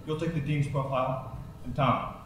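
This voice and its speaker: An actor playing a brisk, businesslike newspaper editor, giving instructions in a naturalistic way. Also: male voice, mid-range voice, steady tone, natural voice. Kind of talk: naturalistic film acting. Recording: very echoey, distant microphone, faint noise.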